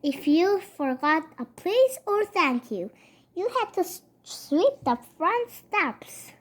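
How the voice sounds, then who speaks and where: A child reading a children's picture book aloud in a halting way, stumbling over words and going back to repeat them. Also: very high-pitched, sing-song.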